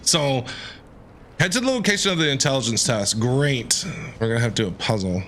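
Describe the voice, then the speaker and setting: A man's voice: mid-range voice, animated, a little fast, a bit bright, a little hiss or hum. A man streaming a video game with casual, jokey, exclamatory commentary, often repeating his phrases. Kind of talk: casual reactive gameplay commentary.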